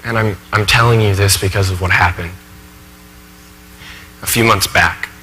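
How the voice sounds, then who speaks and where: A man performing a dramatic stage monologue as an earnest, anxious thirty-year-old college professor, confiding in halting, emphatic asides. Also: low-pitched, steady tone, pushed and bright. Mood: haunted, hesitant. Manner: conversational asides, halting, emphatic, self-questioning. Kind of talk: dramatic monologue for speech competition. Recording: echoey hall, faint background noise.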